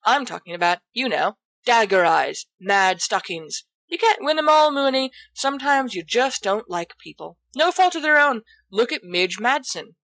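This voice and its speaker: A woman's voice: on the high side, animated, moderate pace, few pauses, a bit bright, no echo, voice pushed up, low-quality recording. A woman voicing a brash, teasing teenage boy in a theatrical, mocking way, as in an audiobook. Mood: exasperated, dramatic. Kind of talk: expressive audiobook character dialogue.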